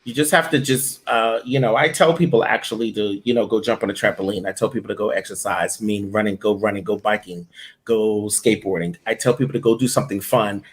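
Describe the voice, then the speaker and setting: A man's voice: lowish voice, fairly even intonation, a little fast. A man, a voiceover director and coach, giving blunt tough-love advice as an interview guest.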